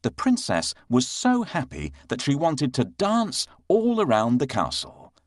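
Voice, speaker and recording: A man narrating a children's bedtime story in an expressive storytelling manner. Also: medium pitch, measured pace, dry recording, studio quality.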